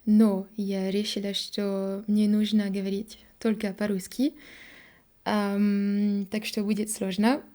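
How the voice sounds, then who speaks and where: Young french woman, mellow voice, kinda flat, keeps stopping to hunt for words and fix herself, thick french accent. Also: medium pitch. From France. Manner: halting, searching for words, many fillers and self-corrections. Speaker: vlogger. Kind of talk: casual monologue to camera.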